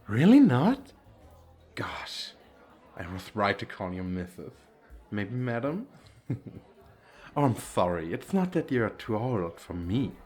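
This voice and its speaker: A man's voice: fairly low pitch, big pitch swings, takes it slowly, long pauses, darkish tone, slightly rough, exaggerated voice. Man playing a theatrical, swooping vain male model. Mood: cocky, teasing. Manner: theatrical, teasing, self-absorbed. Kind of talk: ASMR roleplay.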